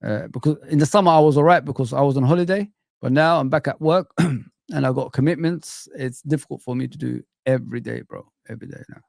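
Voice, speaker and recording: Gravelly young man on a relaxed stream. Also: mid-range voice, fast, no room sound, clean studio sound. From Somalia. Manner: relaxed, rambling, calls viewers bro. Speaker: live stream host. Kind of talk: casual live chat reading comments.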